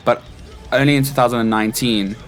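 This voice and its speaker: A man's voice: medium pitch, steady tone, fast, soft background music. A man in his twenties with a South African accent, telling personal stories casually as an interview guest. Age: 20s. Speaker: interview guest, stage crew technician. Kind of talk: casual chat, personal anecdotes.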